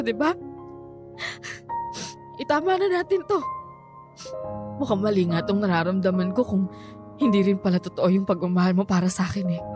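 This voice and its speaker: A woman's voice: medium pitch, natural voice. A woman with a Filipino accent playing an emotional, pleading young woman in love, in a radio drama. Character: heartbroken young woman in love with an older man. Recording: soft music bed under dry studio voice.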